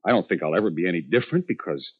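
An actor playing a feckless, good-hearted husband who jokes and rambles haltingly in a 1950s radio drama. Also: male voice, low voice, expressive, slightly rough. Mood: stung, insistent. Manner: halting, rambling, self-deprecating. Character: feckless, joking husband, proud but ashamed, good-hearted. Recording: dull 1950s radio recording.

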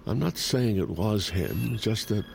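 An actor playing a guilt-worn, wry brother in an audio drama, speaking haltingly and naturalistically. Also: male voice, lowish voice, expressive, vocal fry, a little mellow, slight background noise, full character voice. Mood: defensive, hesitant.

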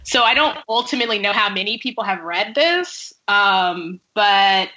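A woman's voice: medium pitch, lively intonation, normal speed, bright, forceful tone, highs cut off. A woman speaking as a writer guest in a casual podcast interview, conversational and peppered with "like" and "sort of". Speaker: guest novelist and screenwriter.

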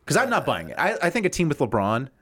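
A man in casual sports chat, animated, laughing often and acting out quotes. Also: on the high side, quick pace, breathy edge.

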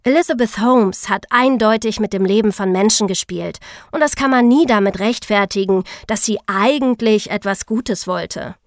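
Woman co-host, medium pitch, dry sound, scripted podcast discussion. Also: moderate pace, dry recording.

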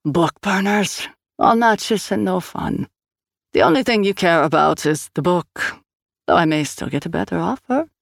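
A man voicing a flamboyant, mocking Scandinavian dealer with a sing-song accent and theatrical, drawn-out delivery. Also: high voice, lively intonation, creaky, a bit bright, dry recording, clean studio sound, pitched above the performer's own voice, heavily acted. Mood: mock-wounded.

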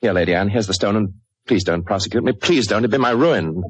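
A meek, impoverished scholar in a vintage radio drama. He talks quickly in a low, husky voice, stumbling apologetically over his words. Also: no echo. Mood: desperate, pleading. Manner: hesitant, stammering, apologetic. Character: meek, desperate male impoverished mathematician. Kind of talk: 1940s radio acting.

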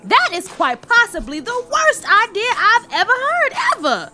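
A woman's voice: very high-pitched, wildly swooping pitch, moderate pace, pushed and bright, faint music behind, exaggerated voice. A woman playing a blunt, teasing, scornful friend in an audio comedy drama, with a sarcastic, brash way of talking. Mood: scornful, exasperated.

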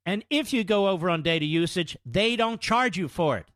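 A man's voice: high voice, animated, normal speed, fairly bright tone, no echo, studio quality. A man reading a radio ad in a direct, salesy way. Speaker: ad announcer. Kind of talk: scripted ad read.

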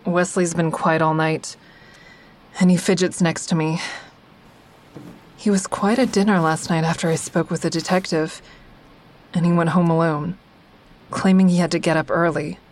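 A woman's voice: lowish voice, steady tone, moderate pace, long pauses, darkish tone, lightly acted. A woman acting as the wry, observant first-person narrator of an audio drama, a member of a support group. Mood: wry, uneasy. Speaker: narrator and character.

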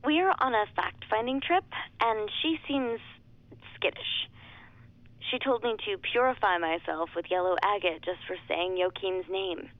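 A tinny, dry telephone voice of a determined woman in an audio drama. She is matter-of-fact and natural, with a medium pitch. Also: unhurried, no echo. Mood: brisk, planning. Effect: telephone filter. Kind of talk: naturalistic audio fiction acting. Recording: tinny, dry phone-line sound.

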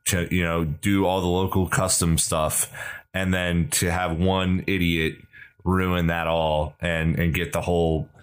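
Very deep-voiced man, emphatic podcast host. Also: level tone, unhurried, clear, resonant tone. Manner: emphatic, opinionated, conversational. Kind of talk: casual fan podcast chat.

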